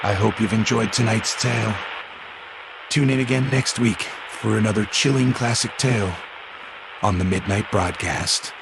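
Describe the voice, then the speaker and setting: A man voicing a sinister, playful, gravelly horror storyteller host with a creepy, theatrical manner. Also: fairly low pitch, moderate pace. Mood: macabre relish. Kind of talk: horror anthology sign-off narration. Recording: noisy recording.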